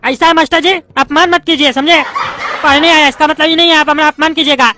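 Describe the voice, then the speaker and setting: A voice actor doing a squeaky, touchy bald politician. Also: fairly even intonation, bright, forceful tone, faint music behind. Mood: indignant. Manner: indignant, rapid-fire arguing and lecturing. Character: bald loudmouth male politician student, touchy and argumentative. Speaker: adult student in a class.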